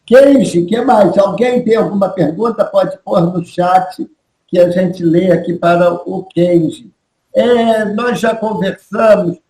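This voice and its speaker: A man hosting an online interview, asking questions in a halting, rephrasing way. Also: high voice, fairly even intonation, warm tone, reverberant, low-quality recording. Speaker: host.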